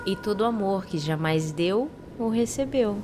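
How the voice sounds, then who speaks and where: A woman playing a seductive, sassy mermaid in an audio drama, speaking in a soft, delicate, beguiling way. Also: medium pitch, animated, unhurried, noisy background, natural voice. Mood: tender, seductive.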